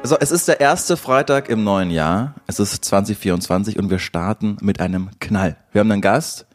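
A man's voice: on the low side, moderate pace, soft background music. A man hosting a casual podcast chat, introducing and questioning people conversationally. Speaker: podcast host.

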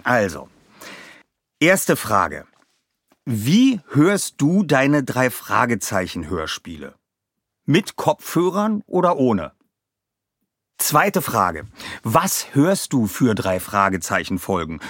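A man's voice: mid-range voice, a bit bright, no echo, clean studio sound. A man presenting and narrating in a scripted style as a podcast host and reader.

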